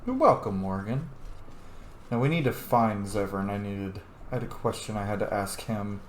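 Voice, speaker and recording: A man's voice: fairly low pitch, lively intonation, normal speed. A man giving casual commentary over a game playthrough, chatty and thinking aloud. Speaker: gaming host. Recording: roomy echo, faint game noise.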